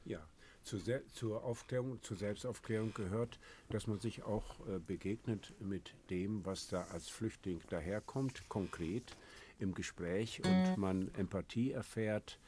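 A man's voice: fairly low pitch, unhurried, dark tone, a little hiss or hum. A man speaking as an expert guest on a radio panel, earnest and hesitant with frequent restarts.

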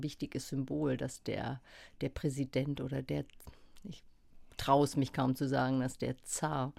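A woman's voice: extremely low pitch, creaky, mellow, dry recording. A woman journalist speaking as a radio interview guest, reflective and thoughtful, often restarting her sentences. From Germany. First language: German.

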